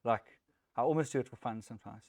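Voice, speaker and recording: A man's voice: medium pitch, fast talker, dry recording. A man in his thirties with a South African accent, giving a casual, self-deprecating talk at a developer meetup, with plenty of ums. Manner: relaxed, chatty, self-deprecating, many ums. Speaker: conference speaker, technical lead. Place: meetup room.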